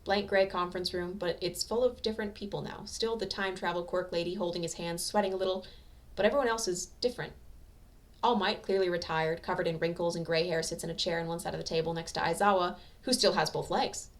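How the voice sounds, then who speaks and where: A woman's voice: lowish voice, steady tone, fast talker. A woman narrating fan fiction as an amateur audiobook reader, in a scripted storytelling style.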